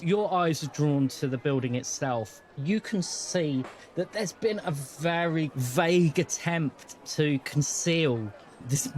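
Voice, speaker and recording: A man improvising scene descriptions as game master, in a measured, descriptive way. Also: a little high, slight background noise.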